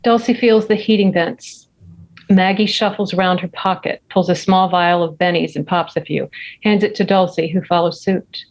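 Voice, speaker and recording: A woman's voice: fairly low pitch, fairly even intonation, normal speed, low-quality recording. A woman reading out stage directions as scripted narration for a screenplay table read.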